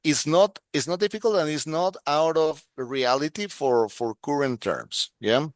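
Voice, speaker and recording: Halting Ecuadorian man, smooth dry voice. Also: fairly high pitch, no echo. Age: middle-aged. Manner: halting, many restarts and fillers. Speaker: guest, security expert. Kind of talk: casual interview talk.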